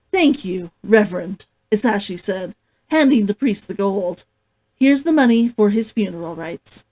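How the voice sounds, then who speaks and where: High-pitched, animated woman hosting a read-along. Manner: giggly, gushing, expressive. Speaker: host, author reading along. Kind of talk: casual livestream chat and novel read-aloud.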